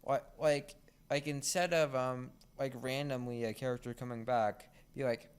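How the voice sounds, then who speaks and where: A teenage boy hosting a podcast in casual chat, speaking haltingly with frequent restarts. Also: mid-range voice, steady tone, clean tone. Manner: halting, restarts words often. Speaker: podcast host.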